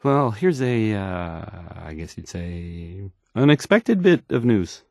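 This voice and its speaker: A man's voice: lowish voice, sing-song, slow pace, a little mellow, dry recording, clean studio sound, heavily acted. An actor playing a shy, awkward young radio DJ in a game, stammering and trailing off as he hesitantly reads the news.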